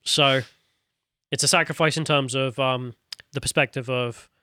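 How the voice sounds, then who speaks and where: Bright-voiced man chatting on a podcast. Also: mid-range voice, fairly even intonation, moderate pace, clean tone, dry recording, clean studio sound. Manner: chatty, laughs easily, reads viewer comments aloud. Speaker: podcast co-host. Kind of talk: casual podcast chat.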